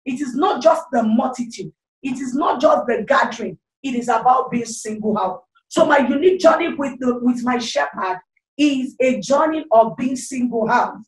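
A woman's voice: high voice, moderate pace, distant mic. Fervent Nigerian woman preacher, echoey room. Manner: fervent, repetitive preaching with frequent exclamations; gestures freely while speaking into a handheld mic. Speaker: evangelist. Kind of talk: online sermon. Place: small indoor room, seated. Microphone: handheld corded microphone.

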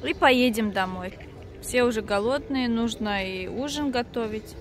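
High-voiced chatty young mother. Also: measured pace, faint music behind. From Dagestan. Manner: chatty, laughs often, talks to viewers. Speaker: family vlogger, mother. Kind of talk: casual family vlog chat. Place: outdoor park, later inside a car.

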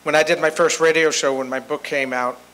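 A man's voice: on the high side, steady tone, brisk, a bit bright. An American man over sixty telling anecdotes to an audience in a plain, conversational way. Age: 60s or older. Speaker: guest speaker at a convention. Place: hotel meeting room with seated audience. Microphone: handheld microphone. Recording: noisy hotel meeting room.

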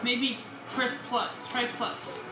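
A woman chatting casually with a co-player during a let's play, playful and excitable. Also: a little high, slow. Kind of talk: casual gameplay chat with a co-player. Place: indoor room, in front of a TV. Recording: echoey room with game music. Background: video game music.

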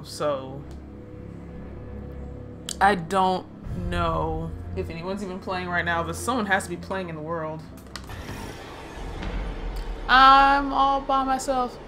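A woman casually commenting on her own gameplay, chatty and laughing, swearing, and talking to herself. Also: fairly low pitch. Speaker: let's play gamer.

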